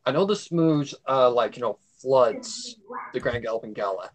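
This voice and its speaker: A man's voice: medium pitch, animated, moderate pace, a crowd in the background. A man in casual conversation with a blunt, grumbling way of talking. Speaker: livestream co-host. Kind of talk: casual chat.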